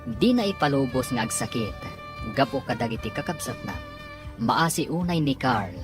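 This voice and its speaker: A man's voice: mid-range voice, animated, moderate pace. A man announcing and narrating a radio drama in an emphatic, scripted dramatic style. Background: music.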